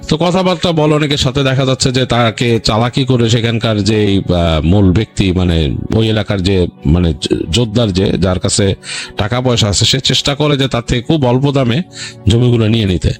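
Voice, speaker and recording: A man with a Bangladeshi accent hosting a story show, narrating in an unscripted, halting, conversational way and often rephrasing himself. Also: mid-range voice. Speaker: horror story show host. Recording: music bed under voice. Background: background music.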